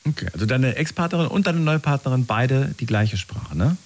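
A man's voice: mid-range voice, lively intonation, brisk. A man interviewing someone in a conversational, informal way, often adding little tag questions. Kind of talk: conversational interview about personal experiences.

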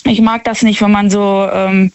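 A young woman speaks on the phone to a radio show, with a dry sound and no room around her. Her pitch is medium for a woman. She is casual and opinionated, stumbling and repeating words as she makes her points. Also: level tone, darkish tone, clean tone, no echo, highs cut off. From Düsseldorf. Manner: halting, repeats words, stammers when worked up.

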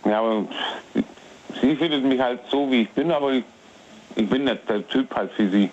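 A man in his thirties calling into a radio show, talking haltingly and repeating his words. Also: medium pitch, darkish tone, a little hiss or hum. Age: 30s. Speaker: caller. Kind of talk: phone call-in to radio. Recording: muffled lo-fi phone line.

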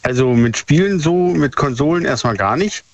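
A man's voice: on the high side, lively intonation, moderate pace, dry recording, dull audio. Hesitant man in his fifties calling in. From former East Germany. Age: 50s. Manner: hesitant, with filler sounds. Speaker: phone-in caller. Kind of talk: casual phone conversation.

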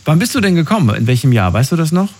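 A man hosts a radio phone-in in a casual, informal way. His pitch moves around in a very animated way. The voice has a husky edge, and he speaks to callers like acquaintances. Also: racing. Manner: informal, addresses callers by first name. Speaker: radio call-in host.

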